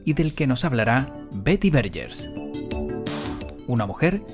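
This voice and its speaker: A man's voice: medium pitch, very animated, unhurried. Male presenter, podcast intro and interview delivery, music behind. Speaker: podcast host. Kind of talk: scripted intro narration and interviewing.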